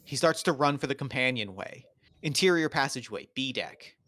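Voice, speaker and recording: A man's voice: mid-range voice, animated, a little fast, sharp tone, no echo. A man doing scripted narration, reading out the stage directions of a screenplay at a table read.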